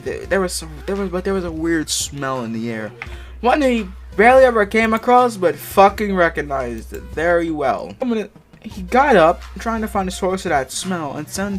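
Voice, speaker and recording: A man casually reading a story aloud, stumbling over the text and breaking off for mocking, sweary asides. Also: fairly high pitch. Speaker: amateur fan fiction reader. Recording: music playing behind the voice. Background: background music.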